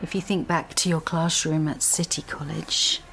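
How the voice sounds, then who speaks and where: A woman's voice: low-pitched, steady tone, moderate pace, creaky, very noisy. An actress playing a bitter, intense young woman, a scorned lover pressing her point insistently in a naturalistic radio drama. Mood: intense, brooding.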